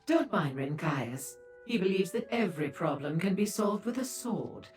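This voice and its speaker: A worldly fantasy-game madam with a low voice. She is arch and flirtatious, drawing out her words teasingly over faint music. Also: big pitch swings, normal speed, darkish tone. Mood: amused, teasing. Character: charming, teasing madam, worldly portal expert. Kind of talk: video game dialogue.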